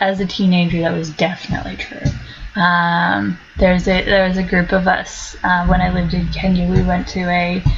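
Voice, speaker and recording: A woman's voice: medium pitch, a bit bright, dull audio. A woman with a blended American and Australian accent, talking casually in a monologue with many ums and restarts. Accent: mixed American-Australian. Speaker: vlogger.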